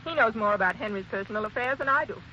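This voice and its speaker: An actress playing a cold, scheming, gold-digging wife in 1940s radio style. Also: female voice, a little high, very fast, pushed and bright. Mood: scornful, sly. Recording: dull, faintly noisy old radio recording.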